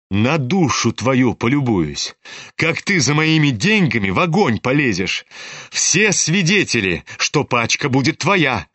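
A man's voice: mid-range voice, fairly bright tone, no echo. A man reading an audiobook, voicing a proud, reckless young woman who taunts and commands. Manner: imperious, taunting.

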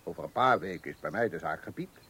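An actor playing a meddling, boastful know-it-all neighbour in a radio comedy play, pompous and pushy. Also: male voice, low-pitched, lively intonation, quick pace, fairly bright tone, breathy edge, heavily acted. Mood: smug, pushy.

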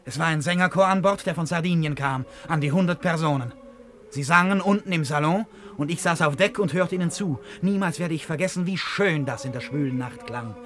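An actor in a German radio play, playing an eccentric, dreamy gentleman who speaks in a courteous, poetic way. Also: fairly high pitch, a little fast, close to an everyday voice. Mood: rapt, dreamy.

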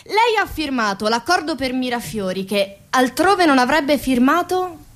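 A woman's voice: high voice, sing-song, measured pace, fairly bright tone, full character voice. A woman playing an eager, insistent reporter vying for attention in a satirical radio play. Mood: probing. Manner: insistent, calling out repeatedly. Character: eager, pushy reporter clamouring at a press conference.

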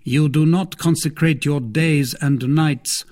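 A man voicing a shrewd, commanding cardinal in a novel, formal and measured, persuasive with a condescending edge. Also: on the high side, steady tone, a little mellow, pitched above the performer's own voice. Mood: pressing, stern. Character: grand, shrewd cardinal, commanding male statesman, persuasive and disdainful.

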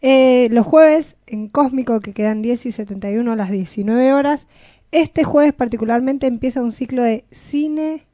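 Mellow higher-voiced Argentine woman co-host. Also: normal speed, no room sound, studio quality. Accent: Rioplatense Argentine. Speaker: radio show co-host. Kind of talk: casual chat with event announcements.

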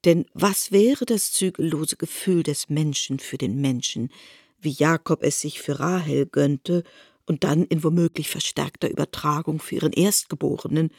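A woman's voice: deep voice, normal speed, darkish tone, breathy edge, no echo. Woman reading a classic novel on radio, formal and precise, dry. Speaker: narrator.

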